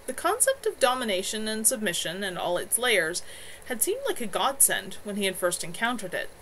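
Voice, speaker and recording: A woman reading her own novel aloud as an author on a home livestream, in an expressive amateur narration style. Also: mid-range voice, normal speed, a little hiss or hum, low-quality recording. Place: at home, in a room with a bed.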